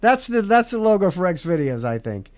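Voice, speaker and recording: High, smooth-voiced man rambling jokingly. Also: sing-song, a little fast, darkish tone. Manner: rambling, joking, many restarts. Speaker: podcast host. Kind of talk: casual comedic chat.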